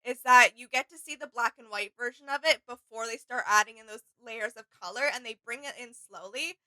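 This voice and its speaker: A woman's voice: fairly high pitch, brisk, bright, forceful tone, low-quality recording. A woman chatting casually and enthusiastically as co-host of a reaction video. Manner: enthusiastic, appreciative. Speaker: co-host of a reaction channel, artist.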